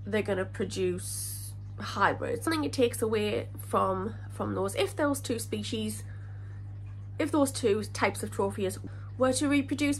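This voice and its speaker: A woman in her thirties with a congested, slightly crackly voice from a cold, hosting a fish-keeping channel and chatting to the camera in a rambling, self-correcting way. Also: mid-range voice. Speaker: aquarium channel host. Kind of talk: casual talk to camera. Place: living room in front of a fish tank.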